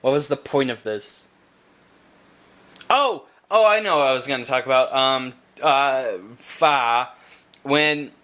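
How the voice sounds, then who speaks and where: Bright-voiced young man rambling casually. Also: mid-range voice. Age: young adult. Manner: rambling, loses his train of thought. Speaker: vlogger. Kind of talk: casual chat. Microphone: handheld camera.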